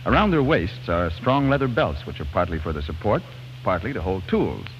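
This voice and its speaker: A man's voice: deep voice, big pitch swings, moderate pace, soft background music. An American man announcing on 1940s radio, reading scripted sponsor narration in a folksy, polished, emphatic way. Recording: muffled, hissy 1940s radio broadcast.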